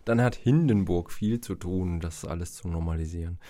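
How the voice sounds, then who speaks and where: A man's voice: low-pitched, normal speed, warm tone, dry recording. A man in his forties with a cold, chatting casually and rambling as a podcast host, laughing at himself. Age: 40s. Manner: rambling, self-mocking, laughs often. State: has a cold, coughing. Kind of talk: casual chat.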